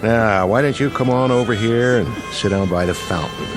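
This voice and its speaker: A male actor plays a kindly night-beat cop with a fairly low voice. He talks casually and coaxingly, in streetwise old radio drama style. Music plays clearly behind him. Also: moderate pace, natural voice. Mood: matter-of-fact, kindly. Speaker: police officer. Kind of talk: vintage radio drama acting.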